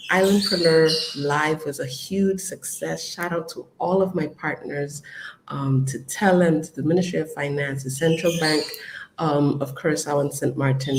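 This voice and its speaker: An adult host giving a casual live-stream update to followers, chatty and upbeat, with frequent ums and shout-outs. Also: mid-range voice, a little hiss or hum, poor audio. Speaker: business community host.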